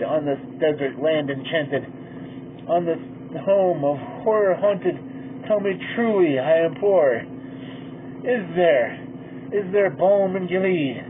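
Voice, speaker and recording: A man reads a poem aloud in a drawn-out, theatrical way and rises to loud, pleading bursts. The sound is thin and boxy, like a phone line. A steady hum runs under his voice. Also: on the high side. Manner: slow, theatrical delivery that swells loud in the narrator's pleas. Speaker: poetry reader. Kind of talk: dramatic poem reading. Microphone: poor-quality mic.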